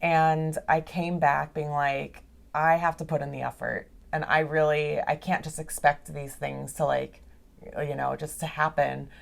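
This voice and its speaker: A woman's voice: sits low, steady tone, a little slow, gravelly. A young woman talking casually as a podcast guest, chatty, laughing often and filling her talk with "like.". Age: young adult. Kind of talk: casual interview chat. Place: video call. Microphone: video call.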